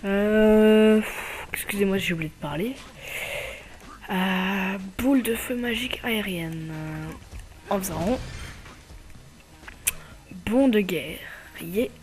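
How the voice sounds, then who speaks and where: A man with a slight cold giving casual, hesitant commentary over his own gameplay as channel host. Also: sits high. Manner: hesitant, many filler pauses.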